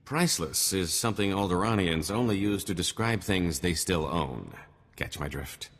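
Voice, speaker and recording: An actor playing a sly, greedy, streetwise mercenary sidekick in a video game. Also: male voice, sits low, normal speed, long reverb tail. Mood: sly, amused.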